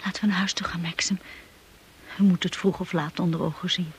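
A woman's voice: lowish voice, fairly even intonation, fast, lightly acted. An actress playing a devoted, steadfast young wife and lady of the manor in a radio drama. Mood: hushed, loving. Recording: noisy old radio play recording.

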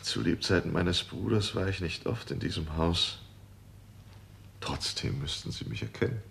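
Very deep, creaky, courteous gentleman. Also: normal speed, heavily acted. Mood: polite, controlled. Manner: polite, smooth-spoken, formal. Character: courteous visitor, the dead householder's brother. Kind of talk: 1960s German crime radio play.

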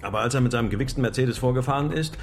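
An actor playing a gruff, stubborn older uncle with a curt, self-righteous manner in a German radio drama. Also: male voice, medium pitch, racing, slight background noise, natural voice. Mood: bitter.

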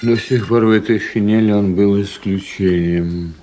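A man's voice: lowish voice, fairly even intonation, moderate pace, dark tone, slight background noise, natural voice. An actor playing a skeptical doctor in a radio drama, wry and needling in the way he talks. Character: dry, skeptical, sardonic military-town doctor.

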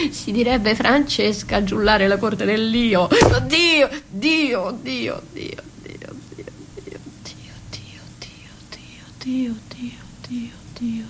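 An actress playing a battered, dying woman in a poetic radio monologue, halting and stammering, repeating words and dropping into whispers. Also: on the high side, vocal fry, a little hiss or hum. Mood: groping, defiant, then desperate. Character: battered, dying woman left in rubbish, dazed, poetic, darkly ironic. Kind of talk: theatrical monologue performed for radio.

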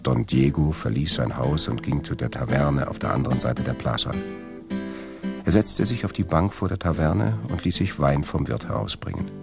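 A man with a gravelly voice narrating a scripted story as part of a radio drama. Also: very deep voice, expressive, normal speed. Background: plucked guitar music.

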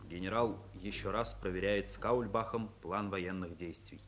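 Low-voiced man narrating over music. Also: moderate pace, a bit bright. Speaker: narrator of a radio play. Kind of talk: scripted 1950s radio drama narration.

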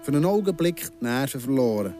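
A man acting in a Swiss German radio drama as an embittered, menacingly insistent ex-convict in his thirties, speaking deliberately and sardonically with pointed pauses. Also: mid-range voice, big pitch swings, natural voice. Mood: accusing. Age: middle-aged. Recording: music behind the voice.

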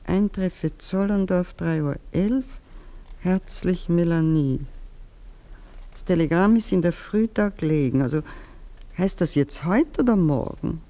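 An actress playing an imperious older baroness who runs her household with a sharp, commanding tongue in a radio play. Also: lowish voice, unhurried, close to an everyday voice.

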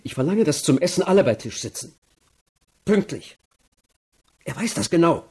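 A man's voice: a little high, very animated, moderate pace, dark tone, slightly rough, heavily acted. An actor playing a stern, worried middle-aged father in a radio crime drama, with clipped, demanding questions. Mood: stern, impatient.

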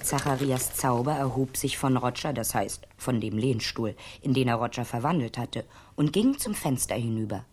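A woman's voice: rumbling low, lively intonation, moderate pace, few pauses, darkish tone, slight background noise. A woman narrating a radio play as a wry storyteller, reading from a script.

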